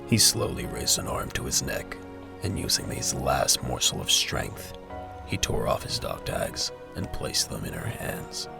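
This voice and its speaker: An extremely deep, heavily gravelly man narrates a scripted sci-fi story. His dramatic delivery swings widely in pitch, with music clearly behind him. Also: huge pitch swings, unhurried.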